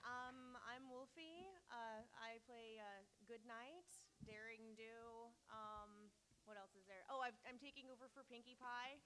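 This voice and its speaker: A woman's voice: a little high, steady tone, measured pace, sharp tone, a little hiss or hum, rough recording. A woman hesitantly introducing herself as a voice actor on a convention panel, searching for what to say. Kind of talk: casual self-introduction.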